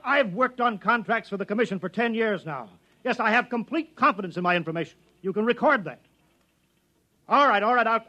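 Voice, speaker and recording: A man's voice: very high voice, bright, forceful tone, exaggerated voice. An actor playing a weary, terse man in command of a test project in a 1950s radio drama. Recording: 1950s radio broadcast recording.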